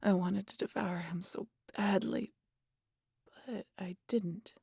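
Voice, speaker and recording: A wry, stubborn vampire woman, played by a woman confides in an intimate audio roleplay. Her voice is mellow and husky, recorded close and dry, and often slips toward a whisper. She talks casually, with swearing and a guarded, teasing edge. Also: mid-range voice, steady tone, slow, no room sound, heavily acted. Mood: nostalgic, wry. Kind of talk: intimate audio roleplay monologue addressed to the listener.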